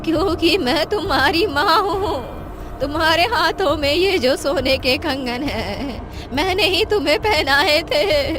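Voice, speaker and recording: Woman playing an old village mother, frightened, remorseful, trembling pleas, high voice, unhurried, cartoon horror tale, music underneath. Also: animated, background noise. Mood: pleading. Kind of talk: animated horror story voice acting.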